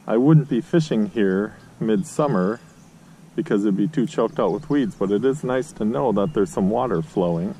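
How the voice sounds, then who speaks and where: A man's voice: lowish voice, normal speed, a little hiss or hum, rough recording. A middle-aged man chatting casually while fishing from a boat on a lake, recorded on an action camera. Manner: relaxed, chatty, cheering on fish. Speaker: fishing vlogger.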